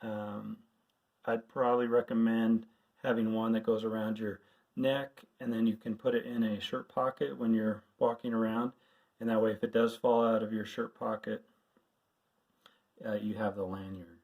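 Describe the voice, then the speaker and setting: A man's voice: fairly low pitch, flat delivery, moderate pace, creaky, a little mellow, low-quality recording. A man giving an informal hands-on tutorial, explaining things plainly and step by step as an instructor. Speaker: radio instructor.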